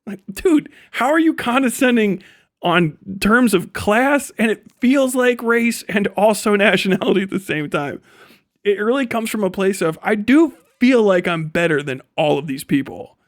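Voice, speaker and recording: A man's voice: high voice, animated, moderate pace, dry recording, studio quality. A man giving a casual livestream rant as host, swearing freely in slangy, sarcastic talk and often laughing as he speaks.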